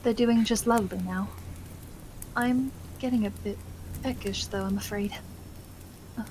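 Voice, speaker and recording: A caring, genteel wife acted by a woman talks at a medium pitch. She is gentle, affectionate and politely old-fashioned, as in an ASMR roleplay. There is faint noise behind her. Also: steady tone, unhurried, close to an everyday voice, rough recording. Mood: caring, attentive. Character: loving, caring young wife, sweet and genteel.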